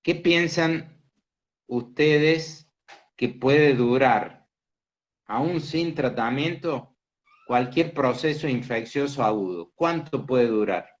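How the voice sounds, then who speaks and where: A man teaching a medical class, explaining slowly and asking students questions. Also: medium pitch. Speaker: medical lecturer. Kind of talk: interactive lecture. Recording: dull lo-fi class recording.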